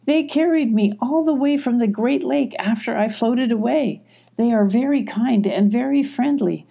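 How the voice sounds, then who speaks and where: A woman voicing a gentle, tearful sloth in a children's story, with pleading, drawn-out calls. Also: on the high side, vocal fry, voice pushed up. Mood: hopeful calling. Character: gentle, tearful sloth calling out hopefully for friends.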